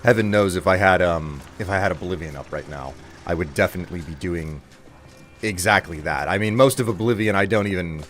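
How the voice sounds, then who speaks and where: A married man streaming a game, rambling casually and self-deprecatingly with halting restarts. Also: low-pitched, a bit bright, soft background music. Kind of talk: casual gameplay commentary.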